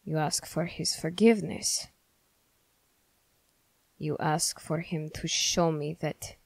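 A woman as a slow, stern young male witch hunter. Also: deep voice, dry recording, heavily acted. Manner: accusing, formal, deliberate with pauses. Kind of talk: ASMR character roleplay, scripted.

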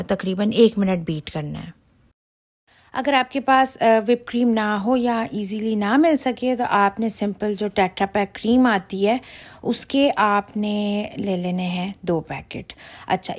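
A woman talks through a recipe as a home cooking host. Her pitch sits in the middle for a woman, and she is chatty and casual, speaking directly to her viewers as she explains each step. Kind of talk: casual recipe voice-over tutorial.

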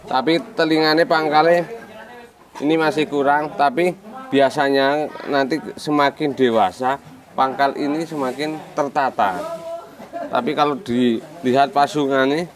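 Young Javanese man touring a goat yard. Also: medium pitch. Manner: relaxed, chatty, mixing in local words, jokey asides. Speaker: goat farm vlogger. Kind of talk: casual on-camera farm tour. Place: outdoor village yard with goats. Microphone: small lapel mic.

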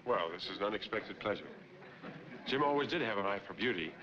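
Flirtatious middle-aged host, man acting, 1940s film, smooth talker with lively pitch, dull soundtrack. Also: brisk, crowd noise, natural voice. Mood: hearty, welcoming. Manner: hearty, smooth-talking.

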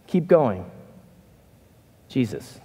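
A middle-aged man preaching a conversational sermon from a church stage, animated and casual. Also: mid-range voice, extremely slow, breathy edge. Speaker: pastor. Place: church stage in a large hall. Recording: reverberant hall.

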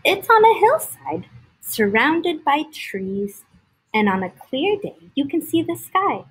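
A woman's voice: high voice, very animated, slow, fairly bright tone, pitched above the performer's own voice, full character voice, poor audio. A woman voicing a weary, polite traveling animal in a storybook, hesitant and earnest. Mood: wistful.

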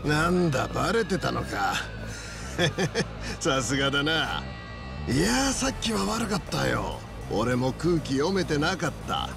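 A gravelly, high-strung gangster thug. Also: male voice, big pitch swings, takes it slowly, music in the background, exaggerated voice. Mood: sly, ingratiating. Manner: coarse gangster slang, pushy. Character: brash, wheedling, short-tempered male ex-yakuza thug. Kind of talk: dramatic video game voice acting.

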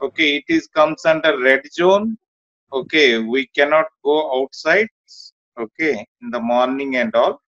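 Halting man on muffled video call. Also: fairly high pitch, sing-song, a bit bright. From India. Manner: halting, repeats words. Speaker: job candidate. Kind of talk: video call job interview. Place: small room indoors. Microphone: phone or laptop camera, video call.

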